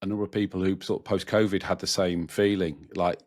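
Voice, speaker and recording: A man's voice: low voice, fairly even intonation, fairly quick. A man hosting a business podcast, asking questions and delivering a show plug in an upbeat, emphatic way. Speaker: podcast host. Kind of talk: podcast interview and sponsor-style plug.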